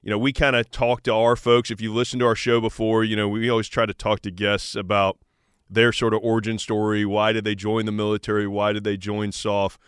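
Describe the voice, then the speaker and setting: An American man speaks as a podcast host in a low register. His voice is clean and resonant, recorded close and dry. He talks informally and encouragingly, as in a relaxed interview. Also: a little fast, smooth, dry recording. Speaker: podcast host, army sergeant major.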